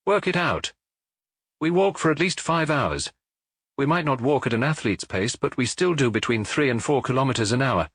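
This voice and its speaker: A man's voice: medium pitch, expressive, normal speed, fairly bright tone, no echo, pitched above the performer's own voice. A man reading wry detective Maigret. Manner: dry, ironic. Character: Maigret, wry middle-aged detective on holiday.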